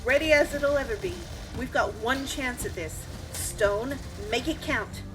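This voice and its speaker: A woman playing a steady, protective military squad leader in a sci-fi radio drama, with clipped phrasing and a cool head. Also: a little high, unhurried, close to an everyday voice, poor audio. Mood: steady resolve. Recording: loud music over the voice.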